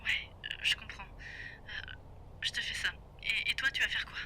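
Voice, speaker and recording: A woman's voice: fairly high pitch, steady tone, moderate pace, whispered, natural voice. An actress playing an anxious, frightened wife in a naturalistic audio drama, hesitant and repeating her words. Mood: worried. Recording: background noise under the voice.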